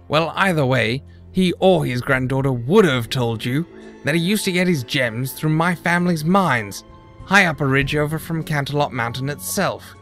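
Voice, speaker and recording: Male griffin for audio drama male actor: lighter, higher register, wronged and defensive. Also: normal speed, a bit bright, faint music behind. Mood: indignant, explaining. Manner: defensive, explanatory. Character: indignant, defensive male griffin gem-mine heir.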